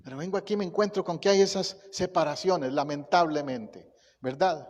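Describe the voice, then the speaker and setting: A man from Costa Rica with a Costa Rican Spanish accent, a pastor preaching a sermon and telling stories in a lively way. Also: a little high, moderate pace, in a large hall. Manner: storytelling, animated, laughs at own anecdotes. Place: church sanctuary.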